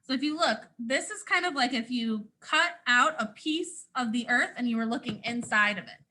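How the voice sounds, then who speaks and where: A woman's voice: a little high, normal speed, sharp tone. A woman with a North American accent reads a picture book aloud to young children as a teacher, expressively, with simple explanations along the way. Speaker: first grade teacher.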